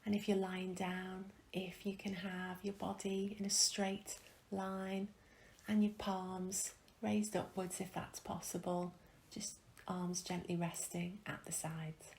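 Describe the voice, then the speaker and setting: A woman leading a guided meditation in a gentle, calm, soft and breathy voice, with long pauses, often trailing into a whisper. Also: on the low side. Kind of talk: guided meditation, soothing instruction.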